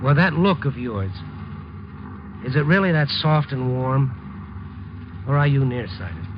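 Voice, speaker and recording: A man's voice: mid-range voice, lively intonation, measured pace, heavy background noise, natural voice. An actor playing a wry, guarded newspaperman with a dry, clipped manner in a 1950s radio drama. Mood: shyly gruff. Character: wry, guarded male Chicago newspaperman narrating his romance. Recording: muffled 1950s radio broadcast, music behind.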